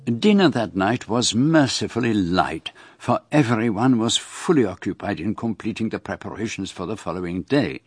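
An actor playing a shrewd, courteous, self-assured foreign detective in a radio drama, with precise, formal phrasing. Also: male voice, on the low side, lively intonation, moderate pace, few pauses, breathy edge, no room sound. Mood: measured narration. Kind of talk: radio drama dialogue and narration.

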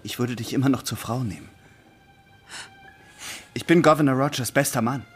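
Male actor as upright, lovesick navy officer in a radio drama; mid-pitched, formal yet pleading, music underneath. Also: moderate pace, natural voice. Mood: urgent persuasion. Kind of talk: German radio play acting.

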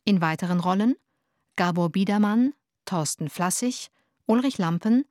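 A woman's voice: medium pitch, moderate pace, darkish tone, no room sound, clean studio sound. A woman reading a scripted radio play credits announcement as announcer.

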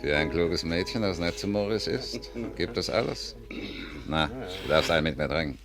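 A man's voice: deep voice, lively intonation, normal speed. An actor playing a smug, slick small-time crook and ladies' man with condescending, oily flirting in a 1950s radio play. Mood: oily, flirtatious. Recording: old radio drama with loud music.

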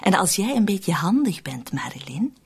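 An actress playing a cunning, cold woman in a radio drama, persuasive and controlled, with a husky, often breathy voice. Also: mid-range voice, very animated, normal speed, heavily acted. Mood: coaxing, scheming. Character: cunning, cold, manipulative woman plotting to shift murder blame. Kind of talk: Dutch-language radio drama acting.

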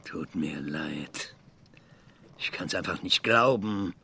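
An actor playing an older, hot-tempered retired space scientist in a radio drama, with a halting, blustering manner. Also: male voice, deep voice, vocal fry, sharp tone, husky, full character voice. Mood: angry, indignant. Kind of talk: German radio drama acting.

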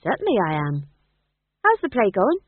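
A man's voice: high-pitched, wildly swooping pitch, no room sound, phone-quality sound, voice pushed up, exaggerated voice. Man playing a firm, thrifty theatre boss. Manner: firm, brisk, shrewd. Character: firm, thrifty male boss of travelling players.

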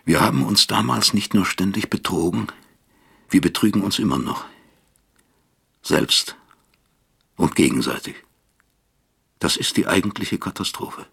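An actor playing a weary, brooding older professor who reflects gravely in a radio drama. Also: male voice, sits low, measured pace, long pauses, a bit bright, full character voice. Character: weary, brooding male East German professor, troubled narrator. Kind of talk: radio drama acting and narration.

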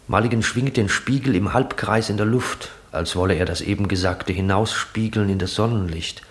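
Low male literary narrator. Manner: measured, descriptive. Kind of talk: literary scripted narration, 1960s radio drama.

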